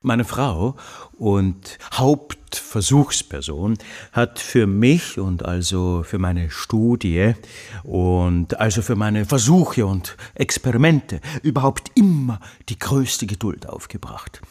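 An actor playing an obsessive, tormented scholar in a radio play monologue, speaking emphatically and haltingly, repeating himself. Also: male voice, fairly low pitch, expressive, darkish tone, dry recording, studio quality. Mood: pedantic, self-absorbed. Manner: emphatic, repetitive, halting delivery with drawn-out words. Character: obsessive, tormented scholar consumed by his life's study.

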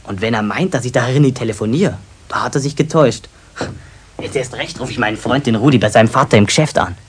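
A man playing a sulky, defiant teenage apprentice with a Bavarian accent and a husky voice in a radio drama. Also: mid-range voice, quick pace, a little hiss or hum, close to an everyday voice. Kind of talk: 1970s German radio drama acting.

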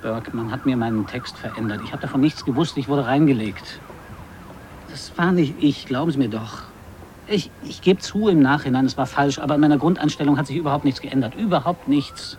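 An actor playing a defiant, bitter gag writer who argues emphatically in a radio drama. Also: male voice, mid-range voice, a little fast, darkish tone, a little hiss or hum, lightly acted. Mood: pleading, defensive. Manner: emphatic, argumentative. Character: defiant, bitter male gag writer, agitated and self-justifying. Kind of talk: 1980s German radio drama acting.

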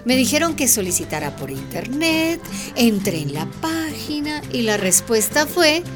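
A small-town mayor in a radio drama. Her fairly high voice is wry, emphatic and exasperated, over a faint bed of music. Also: very animated, a little slow.

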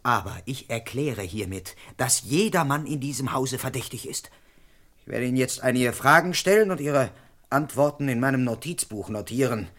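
An actor playing a suspicious British constable in a German radio crime drama, deadpan as narrator and brusque when questioning. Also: male voice, medium pitch, moderate pace, close to an everyday voice. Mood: officious, suspicious. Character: officious, suspicious British police constable, male, narrating his case. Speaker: narrator and lead character.

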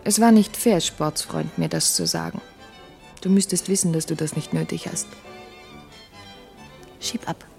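An actress in a German radio play playing a chatty, patronizing, well-off wife with an arch manner. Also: female voice, fairly low pitch, measured pace, creaky, long pauses, a little mellow, soft background music. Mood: breezy, bossy. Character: condescending, chatty well-off wife with mocking laugh.

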